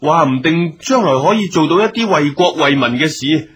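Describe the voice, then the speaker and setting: An actor playing a dutiful young scholar son in a radio drama, speaking earnest, respectful Hong Kong Cantonese. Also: male voice, a little high, animated, moderate pace, breathy edge. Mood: earnest, obedient. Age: young adult.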